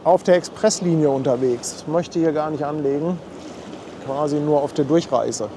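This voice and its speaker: A man's voice: a little high, normal speed, noisy background. A man hosting a casual live stream from outdoors at a harbor, chatting and thanking viewers as he reads out their messages. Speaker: livestream host.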